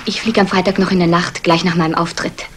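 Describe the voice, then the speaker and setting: An actress playing a self-assured, sly striptease dancer in a 1960s German crime radio play, cool and teasing. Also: female voice, on the low side, flat delivery, fast talker, a little hiss or hum, natural voice. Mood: coolly teasing.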